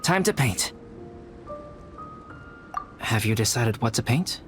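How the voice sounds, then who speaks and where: Refined young male game artist: medium pitch, calm, dry, formal. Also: music in the background. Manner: composed, formal, measured. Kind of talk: video game cutscene acting.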